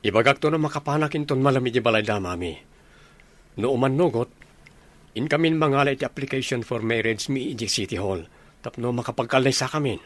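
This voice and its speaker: A man's voice: medium pitch, fairly quick, lightly acted. A man acting an easygoing, lovestruck young villager in an Ilocano comedy radio drama, casual and eager. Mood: reassuring, happy.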